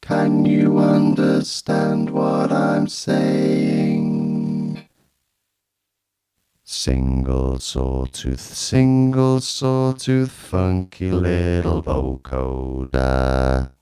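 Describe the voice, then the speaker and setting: Slow droning vocoder robot. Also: male voice, a bass voice, sing-song, over music, pitched below the performer's own voice, exaggerated voice. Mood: mechanical, playful. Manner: slow, droning, repeating short phrases. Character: robotic singing synthesizer voice, slow and mechanical. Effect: vocoder through synthesizer chords.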